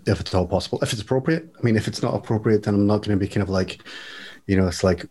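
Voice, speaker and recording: A man's voice: lowish voice, darkish tone. A man talking casually as a podcast guest, with a jokey, self-mocking, playful manner, recorded on a microphone in a home music studio. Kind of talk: casual chat. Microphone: studio microphone with headphones.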